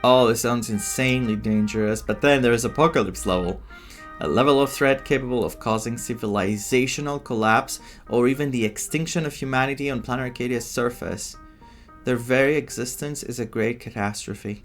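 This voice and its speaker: A man's voice: mid-range voice, brisk. A man giving casual playthrough commentary, reading game text aloud and reacting to it, with music behind him. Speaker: gameplay streamer reading and reacting. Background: game music with guitar.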